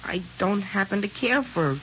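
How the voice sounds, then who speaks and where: A man's voice: sits high, animated, normal speed, a bit bright, slight background noise. A naive teenage boy in an old radio play. His voice swings up and down as he stammers through shaky bravado. The recording is dull and dated. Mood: uneasy, earnest. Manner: hesitant, stammering, awkward. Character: naive, nervous sixteen-year-old small-town boy putting on bravado. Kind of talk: 1940s radio drama acting.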